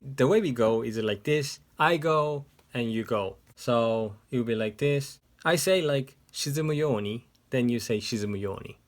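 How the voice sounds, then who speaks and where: A man whose first language is Japanese, teaching a ukulele tutorial in English step by step, seated indoors. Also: mid-range voice. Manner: slow, step-by-step explaining. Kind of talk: music tutorial instruction. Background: ukulele playing.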